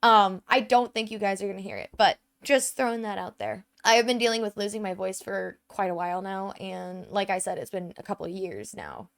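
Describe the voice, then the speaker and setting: A woman's voice: mid-range voice, fairly quick, creaky, few pauses. A woman giving casual gameplay commentary into a desk microphone at home, chatty and full of "um" and "like". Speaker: let's play gamer.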